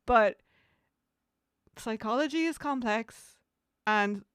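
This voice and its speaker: A woman's voice: fairly high pitch, slow, clean tone, no echo. Chatty woman streamer, dry sound. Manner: chatty and animated, with frequent laughs and fillers. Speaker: streamer hosting an interview. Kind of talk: casual livestream chat.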